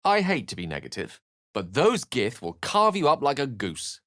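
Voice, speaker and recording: A man's voice: mid-range voice, wildly swooping pitch, normal speed, sharp tone, no room sound, clean studio sound. A man voicing a refined, witty wizard for a video game, speaking in an articulate, theatrical, wry way. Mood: wry, urbane. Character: eloquent, witty, refined human male wizard. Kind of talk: video game character voice lines and narration.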